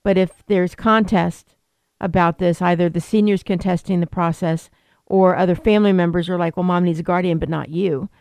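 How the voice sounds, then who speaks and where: A woman's voice: on the low side, steady tone, moderate pace, a little mellow, clean tone, no echo. A woman attorney explaining elder law on a radio talk show, rambling with many fillers and restarts. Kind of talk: radio interview.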